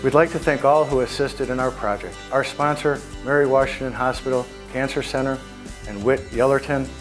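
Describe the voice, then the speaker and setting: A man's voice: mid-range voice, steady tone, a little fast. A man delivering scripted narration as the narrator of a short presentation. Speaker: narrator reading outcome and credits. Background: soft plucked guitar music.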